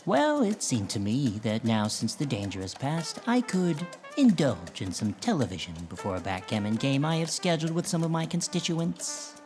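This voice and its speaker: A man's voice: mid-range voice, huge pitch swings, normal speed, hardly any pauses, darkish tone, faint music behind. An actor playing a smug, evasive male ex-villain without powers, speaking in a pompous, self-important way in a comedic audio drama.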